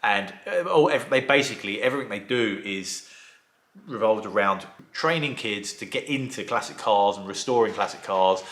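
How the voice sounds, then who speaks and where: A man who restores classic cars, chatting casually in a vlog, with a croaky voice from a sore throat. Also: fairly low pitch, expressive, normal speed, fairly bright tone, reverberant. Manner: chatty, rambling, enthusiastic. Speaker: vlogger and car restorer.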